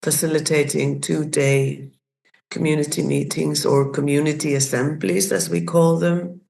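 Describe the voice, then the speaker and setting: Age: 60s. Sex: woman. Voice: gravelly. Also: deep voice, level tone, a little slow, warm tone, a little hiss or hum, rough recording. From Iceland. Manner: thoughtful, restarts and searches for words. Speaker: guest, community facilitator. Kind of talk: reflective personal story.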